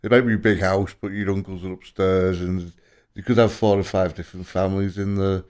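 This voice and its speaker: A man's voice: deep voice, level tone, brisk, a little mellow, smooth. A man co-hosting a podcast, asking loose, casual questions that trail off. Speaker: podcast co-host. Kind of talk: interviewing.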